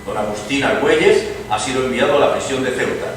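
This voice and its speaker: A man acting a liberal Spanish politician of the early nineteenth century, deliberate and declamatory, in a dramatized reading. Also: medium pitch, lightly acted. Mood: grave, bitter. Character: liberal Spanish politician of 1815, weary and ironic. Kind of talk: theatrical radio drama dialogue. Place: live reading in an echoing venue. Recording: distant, echoey hall with clear noise.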